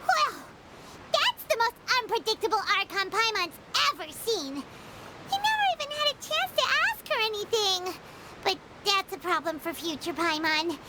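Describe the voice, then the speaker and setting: Squeaky, childlike fairy sidekick. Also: female voice, very animated, normal speed, very noisy. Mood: chatty, curious. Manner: speaks of herself in third person, exclamatory. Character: small excitable floating fairy companion, childlike, indignant, refers to herself by name. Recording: game music behind.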